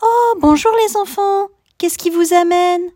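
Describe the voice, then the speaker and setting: A woman's voice: extremely high pitch, unhurried, no room sound, studio quality, voice pushed up. Esmeralda, woman voicing Santa's fussing wife. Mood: friendly. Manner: warm, fussing, gets flustered. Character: kindly, tired wife of Santa Claus.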